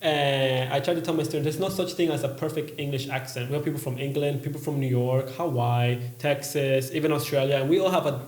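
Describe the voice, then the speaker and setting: A man, an English teacher talking earnestly on a podcast and pausing often with uhs. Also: mid-range voice, a little mellow, reverberant. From USA. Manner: earnest, halting, many uhs. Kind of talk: casual podcast chat.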